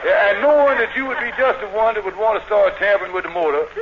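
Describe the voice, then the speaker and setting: High-voiced, blustering radio con man. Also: lively intonation, quick pace, crowd noise. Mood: glib, evasive. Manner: smooth-talking, blustering salesman patter. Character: scheming fast-talking lodge con man. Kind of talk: 1940s radio comedy acting. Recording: dull, noisy old radio recording.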